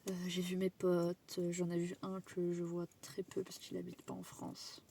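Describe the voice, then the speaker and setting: A woman's voice: on the low side, completely flat delivery, moderate pace, vocal fry, dark tone, a little hiss or hum. A young woman vlogging in casual chatty talk, rambling and swearing a little, sick and tired. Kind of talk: casual vlog chat to camera.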